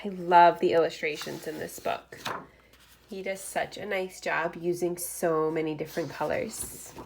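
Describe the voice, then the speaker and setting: A woman reading a picture book aloud to young children like a teacher, in expressive, deliberate short phrases with asides. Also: on the low side, creaky, noisy background.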